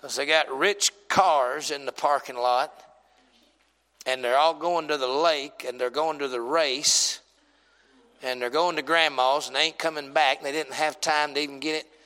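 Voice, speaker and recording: Bright-voiced preacher in a hall. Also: male voice, a little high, steady tone, moderate pace. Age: 40s. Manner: humorous, teasing the congregation, builds to shouting. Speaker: pastor. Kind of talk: sermon. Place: church sanctuary.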